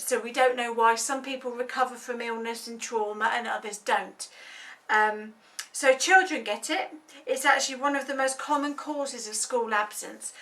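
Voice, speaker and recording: Bright-voiced woman in her thirties, talking earnestly. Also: fairly high pitch, recorded from a distance. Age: 30s. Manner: earnest, conversational with frequent ums. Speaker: health advocate. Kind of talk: informal explainer talk.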